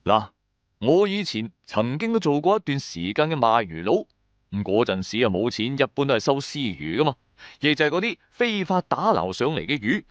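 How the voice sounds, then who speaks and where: A man's voice: medium pitch, sing-song, moderate pace, no room sound, muffled recording, natural voice. A man playing a shrewd, wry trader who narrates his own adventures in colloquial, sardonic radio-drama style. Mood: musing, storytelling. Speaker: narrator and lead character.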